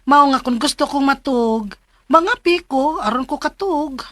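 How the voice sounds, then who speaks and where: A woman's voice: high-pitched, a little slow. A woman with a Cebuano accent acting a weary, coffee-addicted working mother who confides her troubles in a radio drama. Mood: casual, defensive. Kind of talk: Philippine radio drama acting and first-person letter narration. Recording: music bed under the voice.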